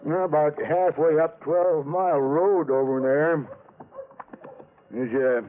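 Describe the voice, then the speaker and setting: An actor playing a grizzled, cranky old western local with folksy, repetitive rustic talk in a radio drama. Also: male voice, on the high side, takes it slowly, a little hiss or hum. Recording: vintage radio, narrow phone-like band.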